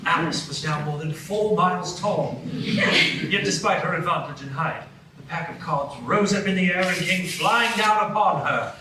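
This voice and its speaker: A man's voice: sits high, moderate pace. Man narrating a storybook on stage. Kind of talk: live radio play narration. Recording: echoey stage, distant mic, noisy.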